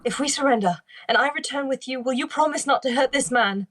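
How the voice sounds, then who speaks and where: A woman's voice: high voice, fast, a bit bright. A woman playing a devoted, earnest young noblewoman in an amateur script table read. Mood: pleading.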